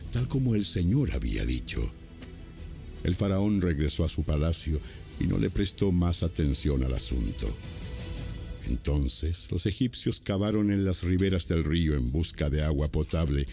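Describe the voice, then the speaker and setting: Male scripture narrator, very low and mellow, scripted storytelling over music. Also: vocal fry. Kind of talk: scripted dramatized Bible narration.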